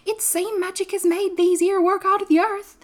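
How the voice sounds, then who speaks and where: A woman's voice: extremely high pitch, fairly even intonation, moderate pace, pitched above the performer's own voice. A woman voicing a cheerful, earthy country boy with a Yorkshire accent in a storybook.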